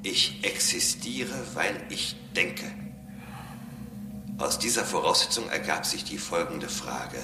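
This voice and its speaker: An actor playing a self-assured, condescending robot philosopher in a radio drama, speaking in formal, pedantic statements. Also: male voice, a bass voice, expressive, takes it slowly, background noise, exaggerated voice. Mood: coolly logical. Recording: echoing sound, music behind.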